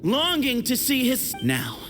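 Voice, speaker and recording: An actor playing a bold, earnest young desert warrior in a video game, speaking in a dramatic, measured way. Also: male voice, very high voice, huge pitch swings, music in the background, exaggerated voice. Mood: solemn. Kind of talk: video game character acting. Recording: clear background noise.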